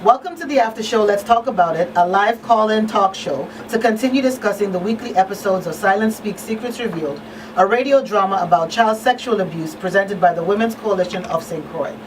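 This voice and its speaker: A woman's voice: medium pitch, brisk. Woman radio host, slightly distant. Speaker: talk show host. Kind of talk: live radio talk show presenting. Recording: off-mic, noisy room.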